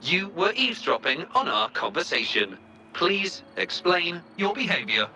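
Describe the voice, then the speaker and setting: A dutiful game robot, with a very bright, slightly high voice. It makes clipped, formal announcements that swing in pitch, a little proud of itself, over music. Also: male voice, sing-song, unhurried, a little hiss or hum, exaggerated voice. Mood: formal, matter-of-fact. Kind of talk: video game voice acting.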